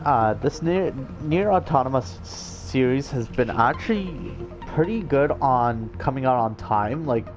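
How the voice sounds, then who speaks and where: A man's voice: mid-range voice, lively intonation, measured pace, hardly any pauses. A man giving casual let's play commentary in a hesitant, rambling way. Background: game music.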